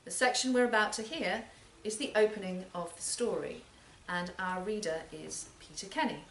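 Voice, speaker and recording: A woman's voice: mid-range voice, moderate pace, a bit bright. Distant, echoey woman introducing a literary reading. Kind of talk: scripted spoken introduction at a literary event. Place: performance space in a library, live event. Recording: roomy, distant live recording with noise.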